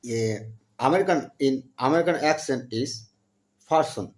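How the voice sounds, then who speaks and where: Young man from Bangladesh, eager English learner on a video call, halting phrasing, mid-pitched voice. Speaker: channel host practicing English with strangers. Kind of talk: casual video chat with a stranger.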